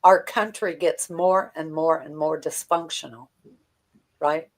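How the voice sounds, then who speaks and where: Older female American guest commentator. Unhurried, halting, blunt delivery in a slightly low register. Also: steady tone. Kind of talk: conversational political commentary.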